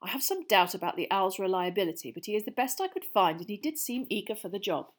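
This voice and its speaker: A woman's voice: mid-range voice, expressive, quick pace, close to an everyday voice. A woman reading out a letter from a fugitive godfather, earnest and a little raised, in a storybook reading. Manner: earnest, letter read aloud. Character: Sirius, fugitive godfather writing a caring letter.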